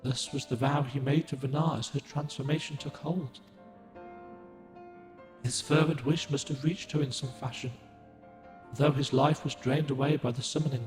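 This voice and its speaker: An actor voicing a solemn ancient guardian spirit of the moon in a game, speaking in a formal, archaic, gentle and measured way. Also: male voice, on the high side, reverberant, big-room sound, close to an everyday voice. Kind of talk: game cutscene dialogue.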